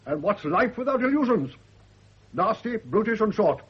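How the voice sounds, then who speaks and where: A man's voice: sits high, big pitch swings, moderate pace, slightly rough, exaggerated voice. A man playing a domineering old British general in a radio drama, blunt, bossy and full of opinions. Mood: opinionated. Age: older. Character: crotchety, domineering old retired general and father. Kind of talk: 1970s radio drama acting. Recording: dull lo-fi old radio recording.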